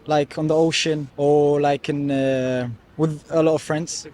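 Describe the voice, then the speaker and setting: A man from Kuwait with an Arabic accent, answering a street interview in short, halting phrases. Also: on the high side, little pitch movement, a little mellow. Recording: outdoor street with faint traffic.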